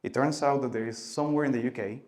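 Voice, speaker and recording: Man giving a halting tech talk. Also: medium pitch, steady tone. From Venezuela. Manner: halting, many filler sounds and restarts, loudness rising and falling. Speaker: conference speaker. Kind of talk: conference talk.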